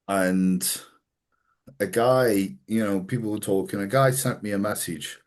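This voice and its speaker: A man hosting a casual podcast chat, swearing freely and telling animated stories with quoted voices. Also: lowish voice, a little slow. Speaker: podcast host and coach.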